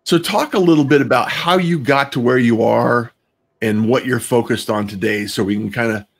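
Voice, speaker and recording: Casual male host, halting delivery, medium pitch. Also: vocal fry. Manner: halting, rambling, repeats words while framing ideas. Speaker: show host. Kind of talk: casual conversational interviewing.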